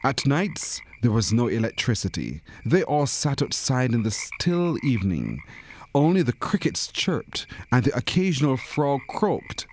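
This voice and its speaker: A man's voice: mid-range voice, darkish tone, a little hiss or hum. A man reading a children's storybook expressively, as a narrator who acts out the characters. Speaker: story reader.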